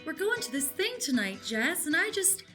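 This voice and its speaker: A woman playing an excitable, melodramatic female customer in an improvised comic radio commercial, bubbly and fretful. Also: high voice, moderate pace, over music. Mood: fretful, intrigued. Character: excitable female customer, fretting over her hair.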